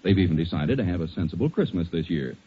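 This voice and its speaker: An American male radio announcer of the 1940s with a husky voice of medium pitch. He reads scripted copy with animated, persuasive polish. The old recording sounds muffled. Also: fast talker. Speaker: announcer and narrator.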